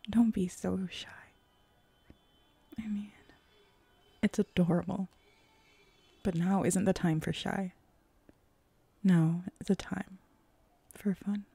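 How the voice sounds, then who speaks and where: A woman voicing a flirty, eager, playful female hellhound in a roleplay ASMR audio drama, teasing and sultry with breathy whispers. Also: mid-range voice, fairly even intonation, slow pace, pauses often, warm tone, no echo, exaggerated voice. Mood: teasing, coaxing.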